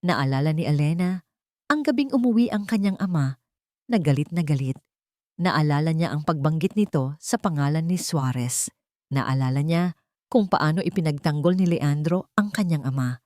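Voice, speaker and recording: A woman's voice: low-pitched, lively intonation, normal speed, a little mellow, dry recording, clean studio sound. A woman reading a scripted dramatic story in Tagalog as its narrator.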